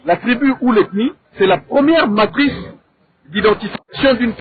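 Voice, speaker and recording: Congolese guy, high voice, teacherly debate talk in short careful phrases, tinny narrow audio. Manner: measured, didactic, speaks in short deliberate phrases. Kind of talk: conversational debate with rhetorical questions. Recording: thin, phone-like narrow sound.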